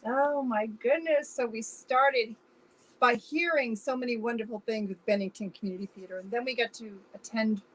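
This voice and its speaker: A woman's voice: on the high side, rough recording. A woman giving effusive, emphatic answers as a guest director in an informal interview. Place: remote video call.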